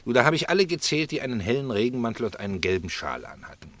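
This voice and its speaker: Ironic male regular, sly, teasing, very animated intonation, radio play dialogue. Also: mid-range voice, fast talker. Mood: insinuating. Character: needling, ironic male regular at a snack bar. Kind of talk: German radio drama acting.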